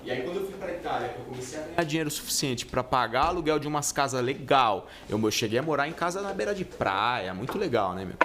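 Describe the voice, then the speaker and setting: A man's voice: medium pitch, expressive, quick pace, hardly any pauses. Man, middle-aged, from Italy, casual podcast guest, slangy storytelling, frequent restarts, faint background hiss. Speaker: guest pizza chef.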